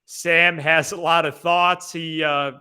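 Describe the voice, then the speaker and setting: A man's voice: a little high, level tone, takes it slowly, bright, forceful tone, clean tone. A man hosting a sports podcast, giving casual commentary in a conversational way.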